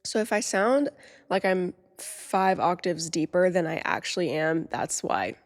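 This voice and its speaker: A woman's voice: on the low side, animated, a little slow, in a large hall. A woman in her twenties hosting her own podcast in casual, upbeat chat, nasal and congested with a slightly sore throat. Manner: upbeat, chatty, many "like" fillers. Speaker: podcast host.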